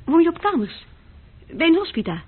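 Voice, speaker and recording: A woman's voice: very high voice, sing-song, a little fast. An actress playing a curious young woman in a radio play, speaking expressively and questioningly. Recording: thin, phone-like old radio recording.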